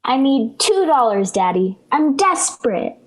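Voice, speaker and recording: A woman's voice: sits high, big pitch swings, a little slow. A female performer playing a dramatic, pleading, bratty little girl in a 1940s radio sitcom. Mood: desperate pleading. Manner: melodramatic, insistent, plaintive. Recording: vintage 1940s radio broadcast.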